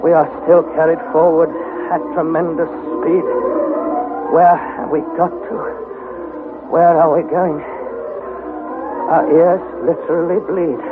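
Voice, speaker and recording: A man's voice: on the high side, level tone, takes it slowly, pauses often, loud music behind the voice. A man playing an anxious young nephew who narrates a perilous sea voyage as a dramatised journal, in a theatrical, intense manner. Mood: weary, grave. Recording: tinny narrow band, heavy background noise.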